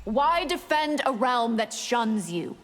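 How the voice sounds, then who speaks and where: A woman's voice: fairly high pitch, sing-song, takes it slowly. An actress playing a cold, scheming warrior woman in a fighting game, haughty and sly in manner. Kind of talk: dramatic video game dialogue. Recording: long reverb.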